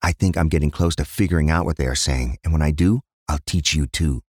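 Man playing a deep-voiced middle-aged police inspector. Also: a little fast, darkish tone, no echo, clean studio sound. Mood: gentle, fatherly. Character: weary forty-two-year-old police inspector, gentle father, blunt cop.

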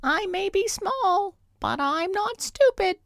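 A woman voicing a tiny, proud female monster in a children's story, squeaky and earnestly protesting. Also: lively intonation, slow, dry recording, studio quality, heavily acted. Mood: indignant. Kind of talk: children's storybook character reading.

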